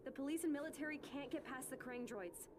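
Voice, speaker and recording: A woman's voice: high-pitched, a little fast, noisy background, lightly acted. An actress voicing an urgent, alarmed young woman ally.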